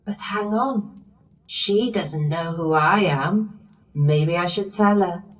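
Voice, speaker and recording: A woman's voice: on the low side, slow pace. A woman playing a kindly, motherly fairy godmother who tells a pantomime story directly to children. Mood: kindly, reassuring. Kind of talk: pantomime narration and acting. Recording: long hall reverb, distant, over music.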